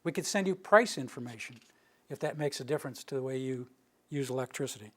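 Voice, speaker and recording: An older man near retirement, from the Rocky Mountain region, giving an informal public presentation in a meeting room with a steady, monotone delivery. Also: medium pitch, fast talker. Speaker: utility planning director giving a public presentation. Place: meeting room with a live audience.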